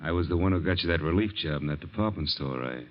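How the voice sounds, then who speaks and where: A man's voice: rumbling low, animated, quick pace. A man playing a weary, suspicious American police detective in a 1940s radio drama, terse and probing, with restrained sternness. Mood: quiet suspicion. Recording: muffled old-time radio recording.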